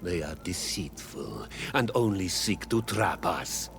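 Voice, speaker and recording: An actor playing a proud, hostile island village chief, gruff and disdainful, in a video game. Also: male voice, deep voice, animated, fairly bright tone, faint music behind, full character voice. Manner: gruff, slow, deliberate, disdainful. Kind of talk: video game dialogue acting. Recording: clear ambient noise behind the voice.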